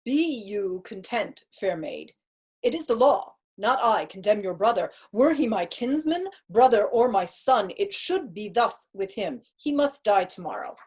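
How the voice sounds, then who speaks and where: A woman's voice: mid-range voice, expressive, a little slow, a little mellow, breathy edge. A woman playing a stern, unbending male judge in a staged Shakespeare reading, firm and formal in manner.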